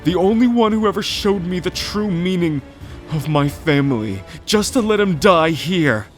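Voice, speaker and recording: A man's voice: high-pitched, animated, moderate pace, faint music behind. A man playing a timid, loyal young half-merman in a fantasy audio drama, stammering and pleading with emotion. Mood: desperate, defiant.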